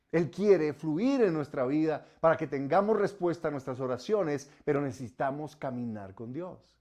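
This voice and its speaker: Man preaching, fairly high voice, emphatic, swings from forceful commands to quiet solemn warnings. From Colombia. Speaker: pastor. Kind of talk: sermon.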